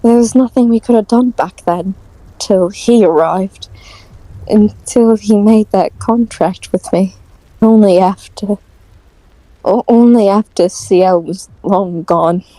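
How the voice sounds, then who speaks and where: A woman playing a bitter, grief-stricken young nobleman with a halting, intense delivery in an audio drama. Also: medium pitch, warm tone, dry recording, natural voice, low-quality recording. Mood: grieving, bitter.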